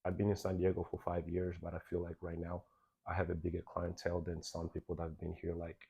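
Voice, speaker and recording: Deep-voiced young man from Belgium. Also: quick pace, breathy edge, dull audio. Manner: animated, acts out quoted exchanges. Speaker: podcast guest, nightlife VIP host. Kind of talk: casual interview storytelling.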